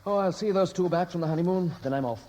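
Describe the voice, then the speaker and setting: A man's voice: high-pitched, fast talker, warm tone, a little hiss or hum, natural voice, rough recording. An actor playing a wry, restless Welsh emigrant son home from America, laconic and teasing, his Welsh accent tinged with American, in 1960s radio drama style. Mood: wry, detached.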